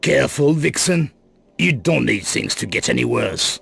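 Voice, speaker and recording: An actor playing a wary, menacing underworld boss in a video game, guarded and dismissive in manner. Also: male voice, medium pitch, lively intonation, normal speed, vocal fry, a bit bright, husky, exaggerated voice. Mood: threatening. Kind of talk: video game cut-scene acting.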